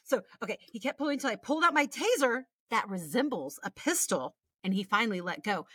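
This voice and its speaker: A woman's voice: a little high, lively intonation, quick pace, pushed and bright, breathy edge, no room sound, clean studio sound. An American woman co-hosting a podcast, reading listener stories aloud in a casual, sweary way with chatty asides. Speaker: podcast co-host.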